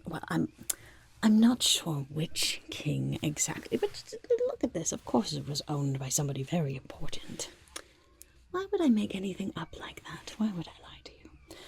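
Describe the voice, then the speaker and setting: Eccentric goblin merchant, played by a woman, whispered ASMR roleplay. Shifty, chatty, rambling; mellow, crackly undertone. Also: lowish voice, big pitch swings, normal speed, full character voice. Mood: defensive, wheedling. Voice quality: breathy whisper with creaky murmurs. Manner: sly, rambling, muttering salesperson, refers to self by name.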